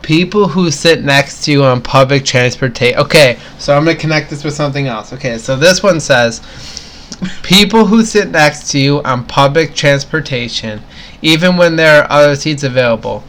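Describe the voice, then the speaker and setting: A man's voice: a little high, normal speed, a bit bright. A young man co-hosting a casual chat, rambling with plenty of fillers and restarts. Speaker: co-host.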